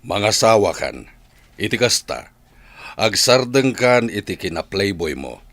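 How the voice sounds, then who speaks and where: A man's voice: fairly low pitch, very animated, a little slow, a bit bright, no room sound, exaggerated voice. A man playing a stern, nagging middle-aged father with an Ilocano accent in a radio drama. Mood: stern, insistent. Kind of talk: comedy radio drama acting.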